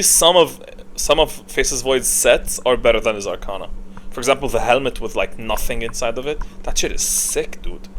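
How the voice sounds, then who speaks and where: A man's voice: medium pitch, fairly bright tone. A man giving casual, unscripted gaming commentary in a blunt, opinionated way, swearing now and then. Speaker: video game streamer giving tier rankings. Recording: clear background noise.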